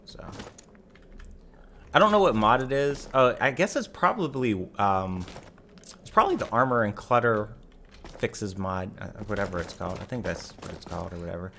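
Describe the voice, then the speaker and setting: A man with a slightly raspy voice giving casual live game commentary as a streamer, rambling and correcting himself as he thinks aloud. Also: on the low side, animated, normal speed, pushed and bright, slight background noise.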